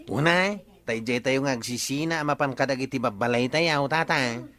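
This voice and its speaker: A man's voice: mid-range voice, moderate pace, bright, forceful tone, lightly acted. A man with an Ilocano accent playing a grumbling, bossy village man in a radio comedy drama. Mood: scheming. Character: grumbling, grudge-bearing village man, bossy older brother.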